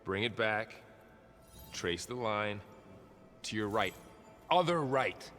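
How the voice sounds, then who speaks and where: A man playing a patient, dry-witted hunting mentor in a game cutscene, calm and instructive. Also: fairly low pitch, takes it slowly. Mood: patient, teasing. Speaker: game character actor. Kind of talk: dramatic game cutscene acting. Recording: distant reverberant hall, music behind.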